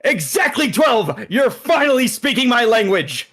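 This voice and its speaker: A man playing an excitable, overthinking secret agent who explains things emphatically in a comedy radio play read. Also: very high-pitched, a little slow, full character voice. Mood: thrilled.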